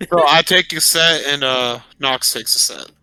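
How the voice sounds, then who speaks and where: A man's voice: medium pitch, unhurried, sharp tone, clear, resonant tone, poor audio. A man speaking casually as a player in a tabletop roleplaying game. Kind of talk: casual chat.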